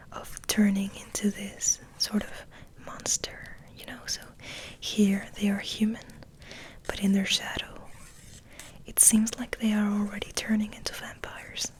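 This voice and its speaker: A woman giving a soft, breathy, whispered ASMR ramble as host, in a gentle, unhurried, musing way. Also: medium pitch, rough recording.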